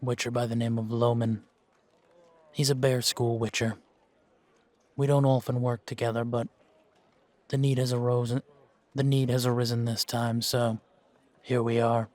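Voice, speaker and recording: A man playing a world-weary, laconic monster-slaying mercenary in a fantasy roleplay audio drama, speaking in a measured, musing way with pauses. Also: mid-range voice, flat delivery, a little mellow, close to an everyday voice. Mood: relaxed, musing. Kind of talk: fan-made roleplay audio drama, unhurried monologue to a companion.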